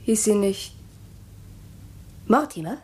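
An actress playing an anxious newly married wife who grows tense and suspicious in a radio drama. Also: female voice, mid-range voice, lively intonation, slow pace, slightly rough, heavily acted. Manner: tense, nervous, questioning. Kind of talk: German radio drama acting.

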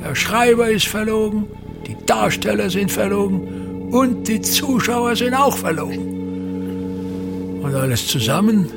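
A pompous aging theatre maker in a radio play, contemptuous and grandiloquent. He speaks slowly, in a husky, gravelly voice that sits a little high. His declamatory rant lurches between extremes over faint music. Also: heavily acted. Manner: grandiloquent, declamatory ranting with sudden swings.

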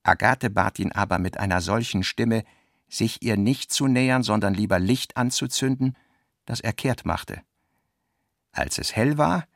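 A man reading scripted literary narration for a radio play in a measured, deliberate manner. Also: fairly low pitch, creaky, dry recording, studio quality.

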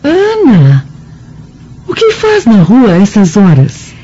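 A caring middle-aged Roman lady in a radio drama. Her Brazilian voice is very mellow and husky, with lively swings in pitch. She addresses others gently and solicitously. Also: slow pace, highs cut off, exaggerated voice. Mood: concerned. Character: kindly middle-aged Roman noblewoman, a mother.

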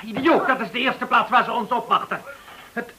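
An actor playing a hard, scheming, impatient criminal who gives brusque orders in a radio play. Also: male voice, very high voice, normal speed, pushed and bright, heavily acted. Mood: urgent, alarmed. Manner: brusque, commanding. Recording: hissy old radio recording.

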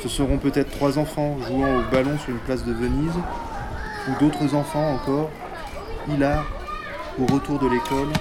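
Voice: medium pitch, fairly even intonation, moderate pace, over a noisy crowd, very noisy. A narrator reading a scripted travel diary in the manner of a roving reporter. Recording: busy crowded street ambience behind.

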